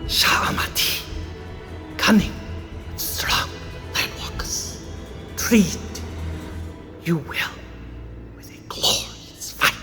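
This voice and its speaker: A game master voicing a menacing sea devil caster in a fantasy tabletop game, whispery and breathy, with halting ceremonial phrasing. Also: long reverb tail, over music. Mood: ominous, ceremonial.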